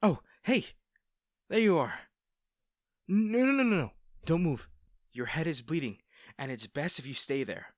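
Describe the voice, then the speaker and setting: A man playing a kind, worried young male rescuer in a roleplay audio drama, speaking in a gentle, hesitant, reassuring manner. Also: on the high side, lively intonation, unhurried, no room sound, studio quality. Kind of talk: acted first-person roleplay audio drama.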